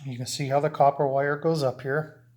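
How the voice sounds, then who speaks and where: A man's voice: fairly high pitch, flat delivery, moderate pace, darkish tone. Rambling man demonstrating CB radio gear. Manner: rambling, hands-on explaining with restarts. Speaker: CB radio hobbyist. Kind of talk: casual how-to demonstration. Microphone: camera mic, handling gear while talking.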